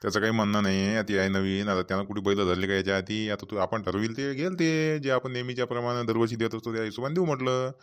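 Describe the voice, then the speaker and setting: Talkative cartoon village farmer, male actor: quick, slightly low, colloquial and rambling, rural Vidarbha Marathi accent. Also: fairly even intonation, clean tone, lightly acted. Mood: businesslike, chatty. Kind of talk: Marathi cartoon comedy dialogue.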